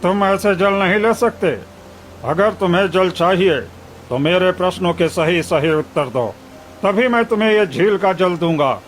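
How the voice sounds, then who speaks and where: A man voices a mysterious spirit guarding a sacred lake in a cartoon story. The voice is high for a man and speaks formally, as if setting a test, over faint ambient noise. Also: normal speed, lightly acted. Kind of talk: Hindi moral-story cartoon dialogue.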